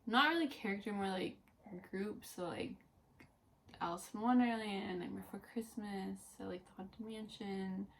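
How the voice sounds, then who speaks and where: Young woman with a creaky voice. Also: mid-range voice, moderate pace, a bit bright, slight background noise, rough recording. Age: early 20s. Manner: rambling, frequent "like". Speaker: co-host of a Disney fan vlog. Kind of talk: casual unscripted chat, answering tag questions.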